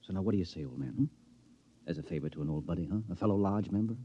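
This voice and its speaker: An actor playing a skeptical married friend, hesitant and full of fillers, with a husky voice, in a 1950s radio drama. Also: male voice, low voice, racing, lo-fi sound. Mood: coaxing. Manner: doubtful, hesitant, many uh fillers. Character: skeptical married friend, lodge buddy, male.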